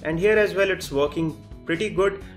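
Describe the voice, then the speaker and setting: A man with a fairly high voice delivers a product review. He explains each point methodically as he demonstrates. Soft guitar music plays behind him. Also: moderate pace. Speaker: tech reviewer, host. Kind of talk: phone review commentary over demonstration.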